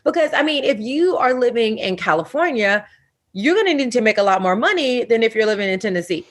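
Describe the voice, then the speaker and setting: A woman's voice: a little high, very animated, brisk. A woman in her late thirties giving conversational, earnest and encouraging interview answers as a wellness coach.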